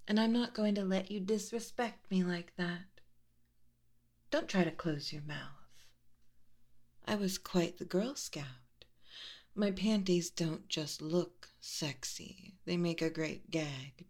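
A young woman voicing a teasing, commanding female spirit with a tail who keeps a library, speaking in a soft whisper with low laughs. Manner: mocking, playful, commanding. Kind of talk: whispered audio roleplay.

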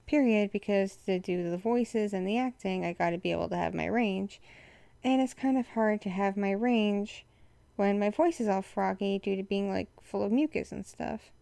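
Young woman, froggy hoarse voice, gravelly fry, smooth underneath, rambly chatty update. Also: medium pitch, moderate pace, dark tone, dry recording. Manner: rambling, frank, many fillers. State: hoarse, froggy from a respiratory infection. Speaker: content creator addressing patrons. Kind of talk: casual update to supporters.

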